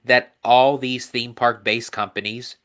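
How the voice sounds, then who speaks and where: An easygoing man co-hosting a podcast, sounding nasal and stuffed up. His voice has a bright, forward edge. He laughs often as he talks. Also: medium pitch, level tone, measured pace, clean tone. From Pennsylvania. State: stuffy from allergies. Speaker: podcast co-host. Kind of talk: casual chat.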